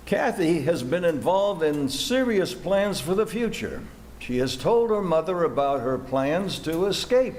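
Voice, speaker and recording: A man's voice: a little high, big pitch swings, a little slow, a little mellow. A man reading scripted narration as the announcer of an amateur radio play. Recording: faint background noise.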